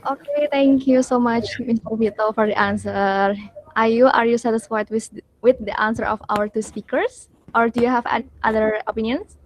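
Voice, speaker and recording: A woman's voice: fairly high pitch, fairly even intonation, moderate pace. A young woman with an Indonesian accent moderating an online discussion over a video call. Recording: noisy, clicking video call audio.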